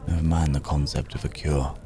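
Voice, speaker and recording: A man playing a weary, philosophical cursed werewolf in an intimate ASMR roleplay, musing in courteous, old-fashioned words with long, hesitant pauses. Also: a bass voice, little pitch movement. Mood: grateful, warm. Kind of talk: slow intimate ASMR audio roleplay acting. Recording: background crowd murmur.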